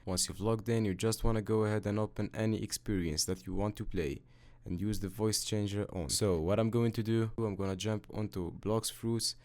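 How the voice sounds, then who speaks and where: A man hosting a how-to video, narrating software steps in a matter-of-fact, instructional way. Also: lowish voice, fairly even intonation, normal speed. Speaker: tutorial host. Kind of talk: scripted step-by-step software tutorial narration.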